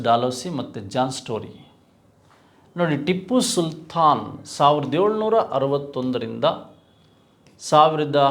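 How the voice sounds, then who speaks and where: A man with a Karnataka Kannada accent teaches an exam class in a fairly high voice with a marked fry. He works through quiz questions in a didactic way. Key points get repeated, often after a question he answers himself. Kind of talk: lecture, reviewing quiz questions.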